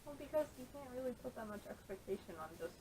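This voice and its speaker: A woman's voice: animated, fast talker, warm tone, breathy edge. A woman in a casual podcast debate, earnest and persistent, speaking on poor camera audio. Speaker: podcast panelist. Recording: noisy camera audio with rumble.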